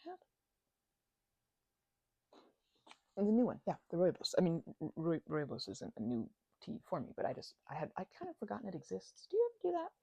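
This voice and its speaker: A woman acting a chatty housemate getting over a migraine in an ASMR roleplay. Her voice is mellow, slightly low and creaky with fry, and she rambles hesitantly, teasing and playfully mock-offended. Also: no room sound, dull audio, heavily acted. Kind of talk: intimate ASMR roleplay, improvised-sounding conversation.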